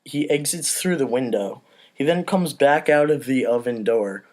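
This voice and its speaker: A man's voice: mid-range voice, normal speed, a little mellow. Casual man reading stage directions. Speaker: podcast cast member reading stage directions. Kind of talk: amateur table read narration, casual and profane.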